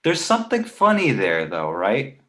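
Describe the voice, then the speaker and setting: A man playing a precocious talking baby boy, sardonic, indignant and pedantic, in an animated comedy table read. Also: mid-range voice, normal speed. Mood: cocky, teasing. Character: precocious, snarky two-month-old talking baby boy.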